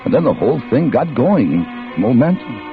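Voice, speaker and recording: A man's voice: mid-range voice, big pitch swings, normal speed, natural voice. An actor playing a desperate, hot-tempered working-class man with slangy tough talk in a vintage radio thriller. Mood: haunted, confessional. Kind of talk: 1940s radio thriller acting. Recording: muffled old radio recording, dramatic music.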